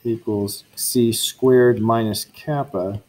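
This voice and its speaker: A man's voice: lowish voice, steady tone, slow pace, a little mellow, rough recording. A man teaching a university physics lecture, working through equations step by step, correcting himself and tossing casual asides to his students. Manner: thinks aloud, self-correcting, chatty asides to students. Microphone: lecture recording.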